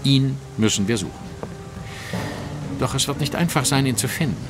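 A man's voice: medium pitch, moderate pace, over music, close to an everyday voice. An actor playing a brilliant, self-assured male detective, precise and commanding, in a German audio drama. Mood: analytical, assured.

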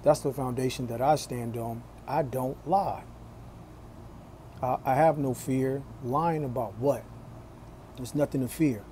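A man in his forties talking to his phone camera in a small room, slow and deliberate, with a preachy tone. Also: mid-range voice, warm tone, a little hiss or hum. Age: 40s. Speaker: vlogger. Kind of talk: casual talk to camera.

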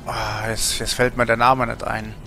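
Male streamer, thirties, forceful voice with plenty of fry, rambling and colloquial game chat. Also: mid-range voice, flat delivery, normal speed, soft background music. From Germany. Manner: rambling, colloquial, thinking aloud. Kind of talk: casual livestream chat while gaming.

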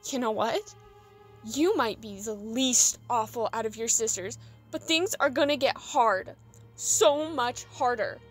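Woman as young female dragon, high voice, earnest, idealistic, hesitant, audio drama, music behind. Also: animated, measured pace. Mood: indignant, earnest. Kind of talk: fan audio drama acting.

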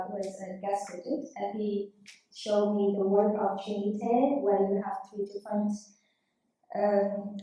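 Slow, hesitant woman in an echoey hall. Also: mid-range voice, warm tone, distant mic. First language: Vietnamese. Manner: hesitant, many ums and uhs. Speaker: type design student presenting her typeface. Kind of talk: conference presentation. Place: large hall, at a lectern. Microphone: lectern mic, camera far off.

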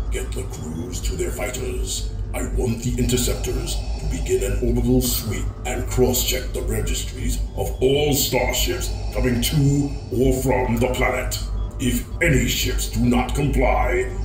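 A man's voice: medium pitch, unhurried, echoey room, over music, lightly acted, low-quality recording. A man playing a cold, imperious Sith lord in an audio drama, giving stern, clipped orders. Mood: stern command. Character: cold, commanding male Sith lord in armor.

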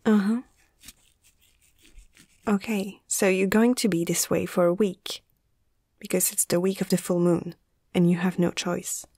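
A woman playing a caring young female veterinarian in a soft, hushed ASMR roleplay, speaking gently and a little hesitantly. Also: fairly low pitch, level tone, a little slow, long pauses, slightly rough, no room sound, studio quality. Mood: curious, gentle.

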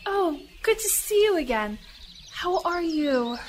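A woman's voice: extremely high pitch, big pitch swings, takes it slowly, slight background noise, full character voice. A woman playing a devout, humble lady missionary in an audio drama, earnest and formal in her phrasing. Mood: kind, regretful.

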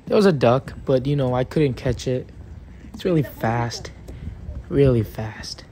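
A man's voice: medium pitch, animated, measured pace, warm tone, slight background noise. Laid-back young man narrating a vlog. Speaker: vlogger. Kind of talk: casual vlog voice-over.

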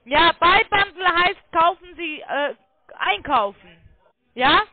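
High-pitched woman on a phone line. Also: expressive, slow pace, dry recording. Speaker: phone sales agent claiming to be technical support. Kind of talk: phone sales call, step-by-step instructions.

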